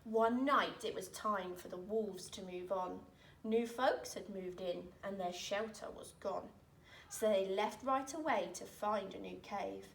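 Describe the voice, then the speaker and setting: A woman reading a rhyming children's picture book aloud in an expressive, dramatic way, with deliberate phrasing. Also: medium pitch, creaky, slight background noise. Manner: dramatic, deliberate phrasing with emphatic swells and hushes. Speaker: storyteller reading aloud, teacher. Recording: roomy echo, distant microphone.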